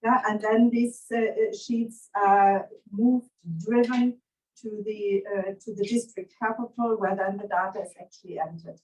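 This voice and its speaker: A woman's voice: medium pitch, fairly even intonation, unhurried, a little mellow, far from the mic, low-quality recording. A middle-aged woman from Germany with a German accent, giving an academic conference talk with many hesitations and fillers. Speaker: conference speaker. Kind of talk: academic presentation.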